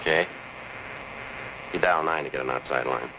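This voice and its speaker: A man's voice: a bass voice, normal speed, very noisy. A man playing a stoic, methodical American police sergeant in a 1950s crime drama, with a terse, matter-of-fact, businesslike delivery. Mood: methodical, insistent. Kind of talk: 1950s police procedural acting, clipped scripted narration. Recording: vintage 1950s television soundtrack.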